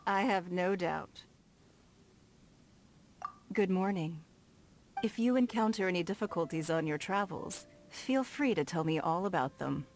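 An actress playing a stately, shrewd noblewoman in a video game, formal, measured and self-assured, with prominent S sounds. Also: on the low side, lively intonation. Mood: assured, composed. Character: poised, stately noblewoman and shrewd business magnate. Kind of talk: video game character dialogue. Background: background music.

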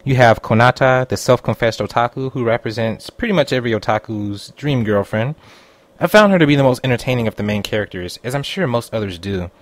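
Casting: male online reviewer, mid-pitched voice, scripted narration delivery. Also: animated, brisk, no room sound. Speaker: anime reviewer.

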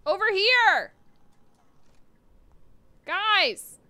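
A young woman streams her gameplay with casual running commentary. Her voice is high and bright, and it swings widely in pitch. She is giggly and swears easily as she chats. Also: big pitch swings, very slow, no echo. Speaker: live streamer playing a video game. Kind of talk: casual live game commentary and chat.

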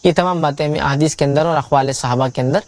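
A man's voice: a little high, quick pace, a little mellow, dry recording. Male preacher, middle-aged, studio lecture; insistent, restating every point, dry recording. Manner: repetitive, emphatic explaining with many restatements. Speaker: Islamic preacher. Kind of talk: religious lecture answering a question.

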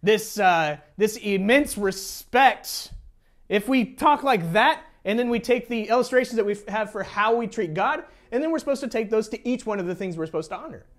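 A man's voice: high-pitched, lively intonation, normal speed. An American man preaching as a pastor in a conversational teaching style, informal, repeating phrases for emphasis and slipping in joking asides. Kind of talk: sermon, teaching a congregation conversationally.

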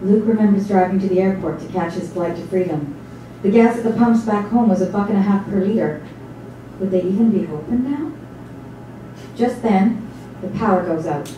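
A woman's voice: medium pitch, moderate pace. A woman reading scripted dramatic narration on stage. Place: small theatre stage with audience. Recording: echoey stage recording from far off, noisy.

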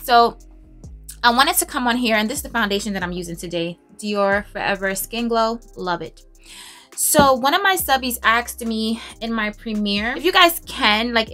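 Bright, self-assured woman vlogger. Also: a little high. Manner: chatty, emphatic, self-assured, laughs often. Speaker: beauty vlogger. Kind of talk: casual chat while doing makeup. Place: walk-in closet at home. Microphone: camera on a stand, close.

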